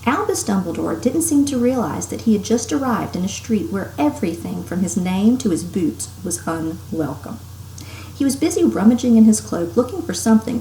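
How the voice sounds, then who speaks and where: A woman's voice: lowish voice, darkish tone. A woman teacher reading a story aloud to children expressively and emphatically, with casual asides. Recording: roomy echo, faint background noise.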